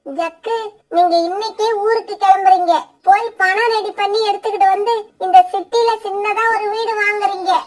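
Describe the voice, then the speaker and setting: A woman's voice: very high-pitched, steady tone, normal speed. A woman voicing a young female cartoon bird, an insistent, bossy and wheedling daughter and wife. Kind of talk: children's cartoon voice acting.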